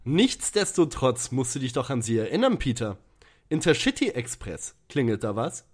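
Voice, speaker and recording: A man's voice: medium pitch, sing-song, moderate pace, husky. A man playing a sarcastic, grumbling young detective with a sceptical manner in an audio drama parody. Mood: sardonic. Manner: dry, sceptical, sardonic.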